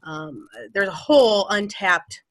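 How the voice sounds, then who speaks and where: A woman's voice: mid-range voice, expressive, takes it slowly, a bit bright, dry recording. A woman from Wisconsin speaking as an author on a panel, easygoing with frequent fillers. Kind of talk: casual panel talk. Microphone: video call.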